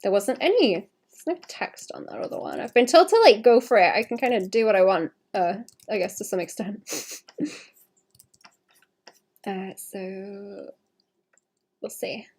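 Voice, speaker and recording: Gravelly, husky-voiced woman sketching on stream. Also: medium pitch, lively intonation, measured pace, long pauses. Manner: chatty, self-correcting, many "like"s and soft laughs. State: hoarse, suppressing a cough. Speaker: digital artist streaming a commission. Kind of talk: casual thinking-aloud commentary while sketching.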